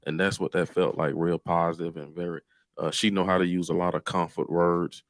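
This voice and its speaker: A man's voice: sits low, level tone, fairly quick. A man giving casual commentary in a slangy, assured manner.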